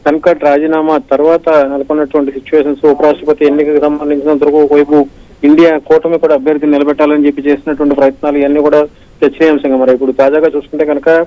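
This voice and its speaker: A male correspondent, giving a live news report in a rapid, flat run with many "aa" fillers. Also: a little high, no room sound. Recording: dry, dull radio-like line.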